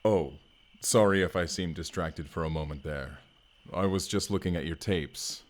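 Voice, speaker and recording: An actor playing a hulking, earnest, gentle barbarian man in an audio roleplay, speaking formally and haltingly. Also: sits low, natural voice. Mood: sheepish. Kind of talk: first-person audio roleplay acting.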